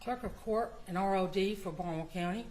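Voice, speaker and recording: A woman's voice: mid-range voice, fairly quick, a little mellow, a little hiss or hum. A woman testifying as a court clerk, answering politely and matter-of-factly. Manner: polite, answers "yes, sir", matter-of-fact. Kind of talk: witness testimony. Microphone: courtroom microphone.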